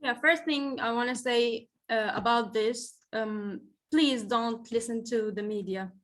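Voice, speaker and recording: Tour guide lady, slightly high voice, calm and reassuring in plain english. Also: unhurried. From Egypt. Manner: reassuring, simple direct English. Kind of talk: answering questions in a Q&A.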